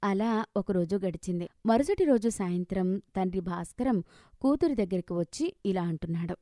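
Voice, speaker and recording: A woman's voice: mid-range voice, animated, normal speed, dark tone, no room sound, studio quality. A woman narrator reading a scripted moral story.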